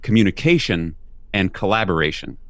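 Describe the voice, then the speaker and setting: Male author guest, lowish voice, articulate and explanatory, dry. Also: lively intonation, fairly quick, no room sound. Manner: articulate, explanatory, uses "right?" tags. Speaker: guest, leadership coach and author. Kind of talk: interview answers.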